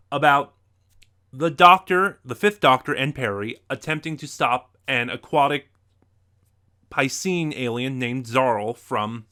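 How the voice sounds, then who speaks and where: A man's voice: mid-range voice, pushed and bright. A man presenting a semi-scripted review to viewers as a video host.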